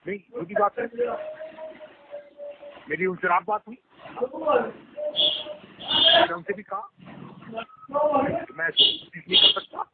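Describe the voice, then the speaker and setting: A man's voice: high-pitched, very animated, takes it slowly, creaky, long pauses. A man answering a phone call in an argument, curt, exasperated and repeating himself. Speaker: religious leader answering a call. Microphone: telephone. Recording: tinny phone line, crowd noise behind.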